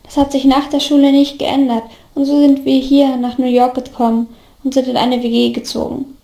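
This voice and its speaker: A woman voicing a devoted, earnest young man in storybook dialogue, gently reassuring his best friend. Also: high voice, level tone, normal speed, gravelly, echoey room. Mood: caring, practical.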